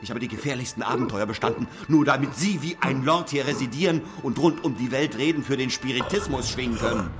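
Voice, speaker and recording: An actor playing an arrogant, aggrieved English detective in a radio drama, eloquent, theatrical and sardonic. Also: male voice, medium pitch, fast talker, few pauses, music in the background, close to an everyday voice. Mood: indignant. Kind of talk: radio drama acting and first-person narration.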